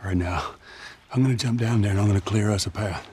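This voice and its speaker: A gruff, terse hardened survivor. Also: male voice, quick pace, dark tone. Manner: gruff, terse, low-key. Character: gruff middle-aged male survivor, hardened.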